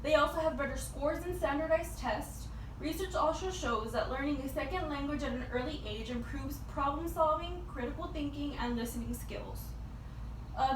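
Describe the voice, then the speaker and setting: A young woman giving a prepared persuasive speech as a student, in a halting, informal way with repeated words. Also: sits high. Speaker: student speaker. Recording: echoey, distant mic with background noise.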